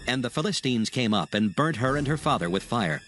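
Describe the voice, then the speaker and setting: A man narrates scripture at medium pitch with lively inflection. His storytelling is solemn and measured, with music clearly playing behind him.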